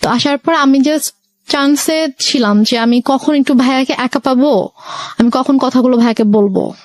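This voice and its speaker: High-voiced Bangladeshi woman telling her story. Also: normal speed, no echo. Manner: mixes English words into speech. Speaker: interview guest. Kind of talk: personal life-story narration.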